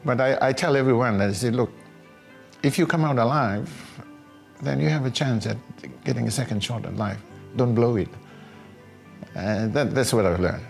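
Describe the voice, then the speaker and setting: An older man from a small island, telling his personal story in an interview in a reflective, halting way. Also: mid-range voice, gravelly. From small Pacific island north of Fiji. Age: older, about 60. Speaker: housing tenant giving a testimonial. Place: small living room at home, indoors. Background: background music.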